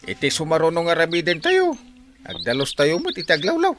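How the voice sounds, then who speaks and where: A man playing a jovial, proud village captain in a folksy, excitable comic radio drama style. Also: on the high side, a little fast, a crowd in the background. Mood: cheerful, earnest. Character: jovial male village captain, proud, easily sidetracked from duty.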